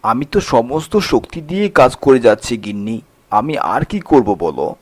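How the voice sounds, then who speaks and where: A man's voice: mid-range voice, sing-song, normal speed, no echo. A man voicing a weary, poor, honest village day-labourer in a cartoon fairy tale, plaintive and earnest. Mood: weary, pleading.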